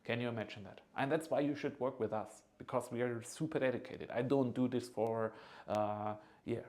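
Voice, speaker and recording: A man in his thirties giving an informal, earnest promotional pitch as a consultant, persuasive and often checking in with the listener. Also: steady tone, normal speed, a little mellow. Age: 30s. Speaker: agency founder and study consultant.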